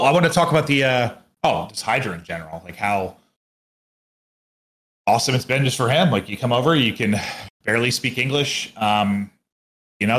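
A man's voice: lowish voice, a bit bright. A man co-hosting a podcast, chatting casually and bluntly with plenty of swearing and colloquial phrasing. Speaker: esports commentator and podcast co-host.

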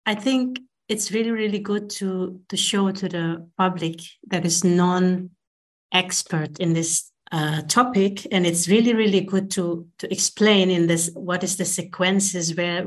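Low-voiced thoughtful woman discussant. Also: steady tone, a little slow. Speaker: panel discussant. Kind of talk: online panel discussion.

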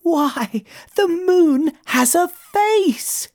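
A woman's voice: high voice, expressive, unhurried, darkish tone, voice pushed up. A woman voicing a small, hopeful young male moth in a children's storybook, polite and eager. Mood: amazed. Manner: polite, eager, breathless wonder.

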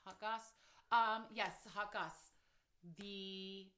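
A woman co-hosting a casual sports podcast, animated and laughing often as she trash-talks. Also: mid-range voice, extremely slow. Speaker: podcast co-host. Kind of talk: casual chat.

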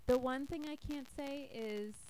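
A woman's voice: high-pitched, measured pace, darkish tone. A young woman from the southern United States talking casually as a podcast co-host. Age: young adult. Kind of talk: casual chat. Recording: noisy amateur podcast recording.

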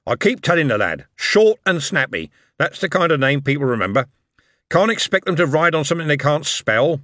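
Man putting on a loud blunt businessman, raised pitch, dry voice. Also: very animated, a little fast, dry recording. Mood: brash.

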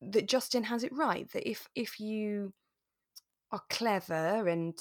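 A woman co-hosting a podcast in casual, animated chat about a radio drama. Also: medium pitch, slow pace. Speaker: podcast co-host.

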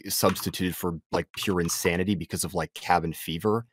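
A man's voice: deep voice, fairly even intonation, fairly quick. A man in a casual analytical discussion, explaining comparisons in loose conversational phrases. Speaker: discussion panelist.

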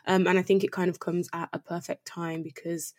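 Low-pitched woman hosting a podcast. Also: little pitch movement, a little fast. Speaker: podcast host interviewing a guest. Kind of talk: conversational podcast introduction and interview.

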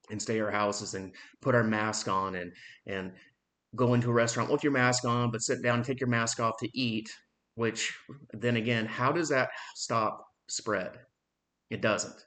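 A man in his thirties giving a blunt, frustrated opinion monologue on his own podcast, swearing freely and pausing often on "um.". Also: fairly low pitch, a bit bright. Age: 30s. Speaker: podcast host.

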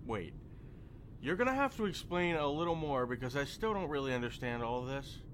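Male actor as jittery young guy, very bright voice, questioning and sheepish, sci-fi audio drama, noisy track. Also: medium pitch, fairly quick. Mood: curious. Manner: excitable, questioning, hesitant. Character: jittery, apologetic young friend named Tavy, easily amazed. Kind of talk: immersive audio drama roleplay acting.

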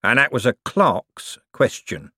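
A man voicing a gruff, rich businessman, who talks slowly and boastfully. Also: medium pitch, sing-song, pushed and bright, no echo, studio quality, heavily acted. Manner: boastful, blunt, slow and emphatic. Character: gruff rich working-class male businessman.

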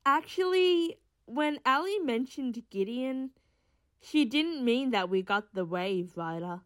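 An actress playing a chatty, matter-of-fact team member in an audio drama, casual and explanatory. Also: female voice, high-pitched, animated, takes it slowly, no room sound.

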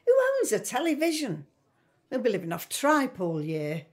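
A woman's voice: medium pitch, sing-song, moderate pace, natural voice. An actress playing a fretful, stubborn middle-aged working-class mother who likes to complain, in an audio drama. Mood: grumbling, indignant.

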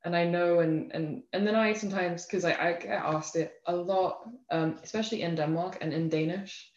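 A young woman with an English accent speaking reflectively in an interview, pausing and restarting as she thinks, with frequent filler phrases. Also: lowish voice, fairly even intonation, darkish tone. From England. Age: young adult. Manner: thoughtful, halting, frequent "you know" and "like". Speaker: interview guest, self-love advocate. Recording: roomy echo, slightly dull lo-fi sound.

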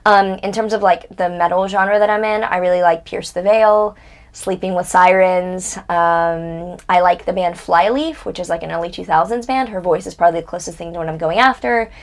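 A woman's voice: lowish voice, moderate pace. A woman in her twenties, a guest in a casual interview, talking bluntly and candidly and swearing freely. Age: 20s.

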